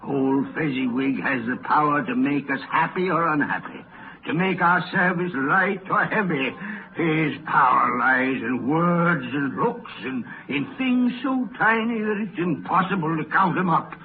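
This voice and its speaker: A man's voice: a little high, unhurried, creaky, noisy background. An actor playing a shaken old miser in a 1930s radio drama, theatrical and exclamatory, repeating his words. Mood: moved, earnest. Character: old miser, shaken, by turns gleeful and remorseful. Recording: tinny 1930s radio broadcast with music.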